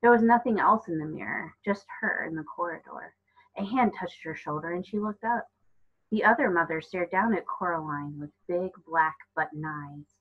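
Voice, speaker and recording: A woman reads a children's novel aloud in a lowish voice with a slightly husky grain. She narrates as a storyteller, and the recording sounds a bit dull. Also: normal speed. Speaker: storybook reader.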